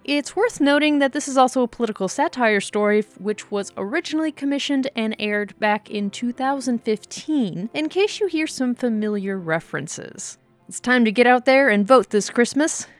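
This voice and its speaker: Measured woman announcer over music. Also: fairly high pitch, expressive. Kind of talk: scripted introduction.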